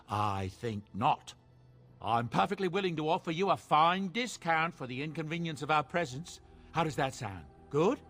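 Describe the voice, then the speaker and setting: A man's voice: a little high, fairly bright tone. A man voicing a genial middle-aged dwarf merchant in a fantasy game, with an obsequious salesman's courtesy. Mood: eager, grateful.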